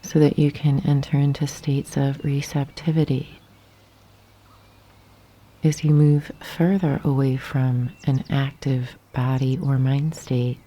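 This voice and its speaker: A woman guiding a yoga nidra meditation in a soft, breathy half-whisper, gently and calmly, with long pauses. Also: rumbling low. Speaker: meditation guide. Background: birdsong and nature sounds.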